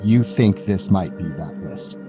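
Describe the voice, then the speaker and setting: A man improvising narration as a tabletop game master in a halting, deliberate, measured manner. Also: sits low, over music.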